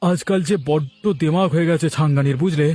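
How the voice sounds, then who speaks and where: Man voicing an indignant village customer, raised pitch. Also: a little fast, mellow, faint music behind, close to an everyday voice. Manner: complaining, emphatic. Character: disgruntled village customer, indignant, proud, vowing payback. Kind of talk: Bengali moral cartoon voice acting.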